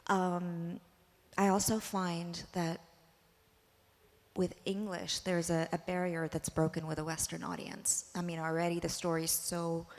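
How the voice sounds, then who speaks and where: A woman's voice: fairly low pitch, level tone, a little slow, a little mellow. A woman filmmaker on a panel, talking hesitantly with frequent fillers. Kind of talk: panel discussion answer. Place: museum panel stage. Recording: long hall reverb.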